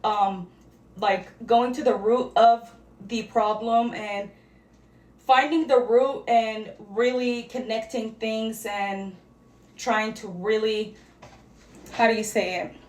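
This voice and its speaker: Woman vlogger, Arabic first language, talks loosely and keeps reaching for words; fairly high voice in a roomy space. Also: fairly even intonation, takes it slowly, a little mellow. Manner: rambling, searching for words, heavy use of "like". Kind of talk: casual get-ready-with-me chat. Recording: echoey room.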